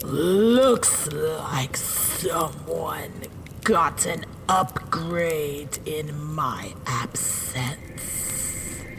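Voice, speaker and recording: A woman playing a haughty, menacing villain in a fantasy audio drama, taunting with drawn-out delivery. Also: low voice, gravelly, a little hiss or hum, full character voice.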